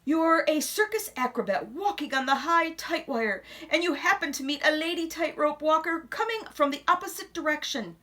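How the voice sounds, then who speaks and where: A woman with a fairly high voice reads a picture book aloud to children. Her pitch swings in a lively way, and she uses dramatic pauses and drops into hushed asides. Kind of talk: expressive children's read-aloud.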